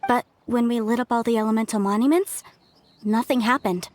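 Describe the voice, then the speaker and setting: A woman's voice: on the high side, a little fast, a little mellow, slight background noise, close to an everyday voice. An actress voicing an earnest, idealistic young female archaeologist in a video game. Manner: earnest and easily stirred. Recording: game score playing faintly.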